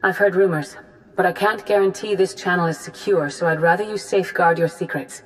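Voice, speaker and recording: An actress playing a grave, authoritative female Jedi grandmaster in a video game, speaking formally and deliberately. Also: fairly low pitch, fairly even intonation, close to an everyday voice, low-quality recording. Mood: grave, earnest. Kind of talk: scripted video game dialogue.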